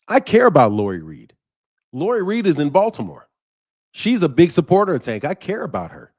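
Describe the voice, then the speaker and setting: A man in his mid-fifties hosting a boxing channel, talking bluntly and heatedly to his viewers and laughing often. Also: on the high side. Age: 50s. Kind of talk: live commentary to viewers.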